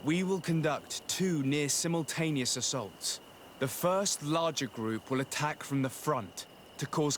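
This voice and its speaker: An actor voices a composed nobleman who plans and negotiates in a video game. His speech is formal and measured, at a medium male pitch. Ambient noise runs behind him. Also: a bit bright, natural voice. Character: composed young noble diplomat and tactician.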